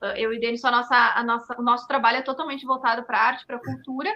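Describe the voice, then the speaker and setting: A woman's voice: on the high side, fairly even intonation, fast talker, sharp tone, lo-fi sound. A woman with a southern Brazilian accent from Rio Grande do Sul, answering in a conversational interview in an earnest, explanatory way, peppered with tag questions. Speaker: dance artist and studio owner, interview guest.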